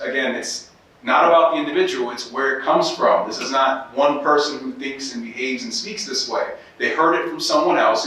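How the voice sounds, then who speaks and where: Animated bright-voiced man, echoey hall. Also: mid-range voice. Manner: animated, emphatic storytelling. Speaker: panelist. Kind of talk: casual panel discussion. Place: convention panel room. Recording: echoey hall from far off with faint noise.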